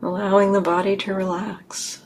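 A woman leading a guided meditation in measured, instructive phrases with long pauses. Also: mid-range voice, flat delivery, slight background noise. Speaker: meditation teacher.

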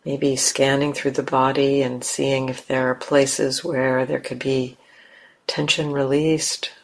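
A woman leading a guided meditation as a teacher, speaking gently in simple, unhurried phrases with long pauses. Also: very deep voice, little pitch movement, vocal fry, rough recording.

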